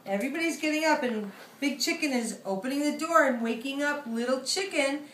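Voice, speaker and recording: A woman, an author reading her picture book aloud in an animated storytelling style. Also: high voice, fairly quick, a little hiss or hum. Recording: echoey room, distant microphone.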